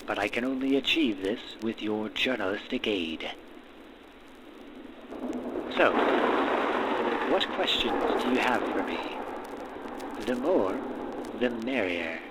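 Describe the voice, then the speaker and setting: Casting: manic male mad scientist for gothic horror audio drama, acted by a man; low-pitched, courteous, florid, grandiose, over clearly audible storm noise. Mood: impassioned, grandiose. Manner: florid, formal, dramatic pauses and sudden emphasis. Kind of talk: gothic horror audio roleplay, theatrical monologue. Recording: steady rain and thunderstorm noise behind. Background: rain and thunderstorm ambience.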